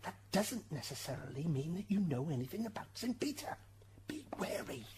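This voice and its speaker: A woman's voice: lowish voice, very animated, moderate pace, noisy background. A woman playing a wry, fussy, easily flustered defence advocate in heaven's court for a radio drama. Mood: wry, confiding. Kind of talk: amateur radio drama acting.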